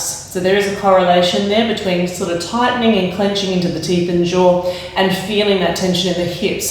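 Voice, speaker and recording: A woman leading a yoga class with calm, gentle spoken cues. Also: medium pitch. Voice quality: slightly raspy when straining. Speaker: yoga instructor. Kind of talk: guided yoga class instruction. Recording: very echoey room, mic at a distance.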